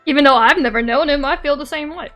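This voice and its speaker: A woman's voice: high voice, fast, a bit bright. A woman streamer giving casual commentary on a video game in an irreverent, slangy manner. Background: music.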